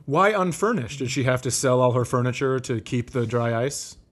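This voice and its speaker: A man's voice: mid-range voice, animated, moderate pace. A man co-hosting a comedy podcast in casual banter, cutting in with pointed questions and dry quips. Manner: interjecting, mock-exasperated questioning, dry quips.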